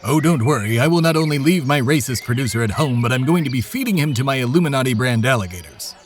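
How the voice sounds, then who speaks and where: A man's voice: mid-range voice, expressive, fast talker, music in the background, lightly acted. A man playing a pompous, shameless, self-important podcast host in a comedic audio drama, with grandiose, formal diction. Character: pompous, shameless, self-important male talk-show adventurer, embodiment of America.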